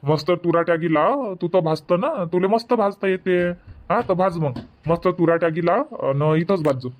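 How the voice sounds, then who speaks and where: A higher-pitched village farmer husband, voiced by a man in a cartoon comedy. He grumbles and gives orders. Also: normal speed, close to an everyday voice. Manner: grumbling, bossy, defensive.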